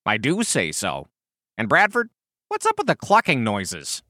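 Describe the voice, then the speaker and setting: A man voicing a bright, exasperated turkey with swooping pitch. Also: huge pitch swings, unhurried, dry recording, studio quality, heavily acted. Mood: wary, firm. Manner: urgent, scolding, emphatic. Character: protective, exasperated male turkey, wary older-brother type. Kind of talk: animal storybook character voice.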